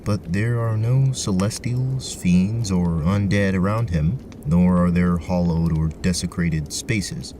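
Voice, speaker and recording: A man's voice: low-pitched, slow pace, hardly any pauses, music in the background. A man narrating a scripted tabletop fantasy story as its storyteller. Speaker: narrator, game master.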